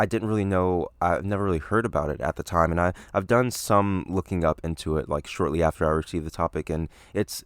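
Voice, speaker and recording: Low, slightly raspy young man rambling. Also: dry recording, clean studio sound. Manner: rambling, hedging, many fillers. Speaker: podcast host. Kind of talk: solo casual podcast monologue.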